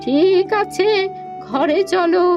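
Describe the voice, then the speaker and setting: A woman's voice: very high voice, takes it slowly, over music, heavily acted. A woman voicing an elderly village wife and mother in a cartoon, weary and scolding but caring. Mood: concerned, curious. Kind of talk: cartoon voice acting.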